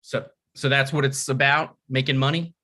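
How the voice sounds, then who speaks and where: Man reading a awkward struggling writer, stammering, bright tone. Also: mid-range voice, flat delivery, moderate pace. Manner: hesitant, stammering, deflated. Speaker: writer and actor in a table read. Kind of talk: comedy table read.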